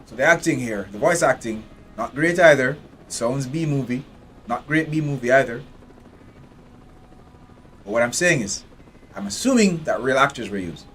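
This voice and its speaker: A man's voice: medium pitch, expressive, normal speed, pauses often, fairly bright tone, soft background music, poor audio. Blunt, profane man streaming a game. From Jamaica. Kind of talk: live gameplay commentary.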